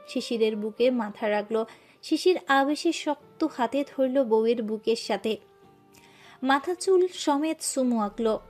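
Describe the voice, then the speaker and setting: A woman narrating a scripted romantic love story with an expressive, emotive reading. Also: high voice.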